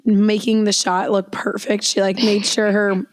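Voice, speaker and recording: A young woman co-hosting a podcast, hoarse and raspy from losing her voice, chatting casually with lots of "like" fillers. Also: medium pitch, flat delivery, measured pace, no echo. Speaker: podcast co-host. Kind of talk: casual chat.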